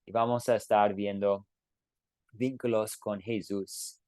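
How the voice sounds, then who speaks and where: A man teaching an online Bible class who closes with a prayer, speaking gently, with many fillers and restarts. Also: on the low side, level tone, slow, no room sound. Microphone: video call.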